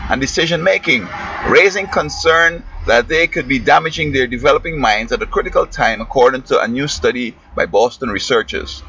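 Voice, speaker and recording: A man from Guyana with a Guyanese accent, a schoolteacher giving rambling, preachy commentary that often trails off mid-sentence. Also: mid-range voice. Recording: muffled lo-fi with faint hiss.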